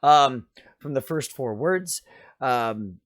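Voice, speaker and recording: Deep, raspy-voiced man reacting with enthusiasm. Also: lively intonation, slow pace, dry recording. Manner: enthusiastic, chatty, with fillers. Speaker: music reaction streamer. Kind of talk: casual reaction commentary.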